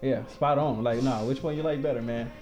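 A man's voice: medium pitch, fairly quick, music in the background. A young man hosting a casual podcast chat, loose and laughing, often stumbling and restarting his sentences. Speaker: podcast host.

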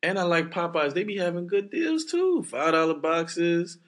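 A man's voice: high-pitched, lively intonation, moderate pace, fairly bright tone. A young man from Brooklyn co-hosting a podcast, joking in a playful, slangy, emphatic casual chat. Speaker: podcast co-host.

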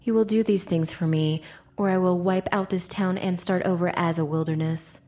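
A woman's voice: on the low side, steady tone, moderate pace, warm tone, close to an everyday voice. A woman voicing a haughty, threatening spirit queen in an audiobook drama, imperious and condescending. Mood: cold bargaining.

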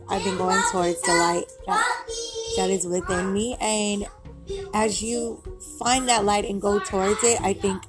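A young woman with a raspy voice, talking at home in a rambling, giggly, upbeat way about positivity, with music behind her. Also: medium pitch. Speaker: vlogger. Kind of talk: casual motivational talk to camera. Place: home with kids playing.

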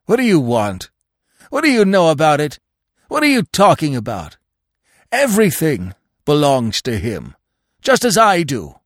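A man voicing a fanatically devoted storybook dog, yelping loudly with servile fervour and defiance. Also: animated, takes it slowly, creaky, hardly any pauses, slightly rough, no room sound, studio quality, exaggerated voice. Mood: defensive alarm. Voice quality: high, loud, yelping. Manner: frenzied, fervent declamation.

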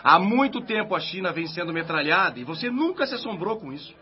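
High-voiced, animated philosophical man. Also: quick pace. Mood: unworried, chiding. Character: calm philosophical man chiding a worried colleague. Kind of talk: radio drama acting. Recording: muffled radio play recording.

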